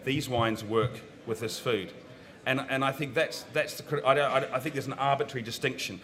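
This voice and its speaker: A man's voice: medium pitch, fairly even intonation, brisk, a bit bright. A man with a New Zealand accent, speaking frankly as a wine consultant on a seminar panel, giving his opinions in a conversational way. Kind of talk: panel discussion. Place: seminar room.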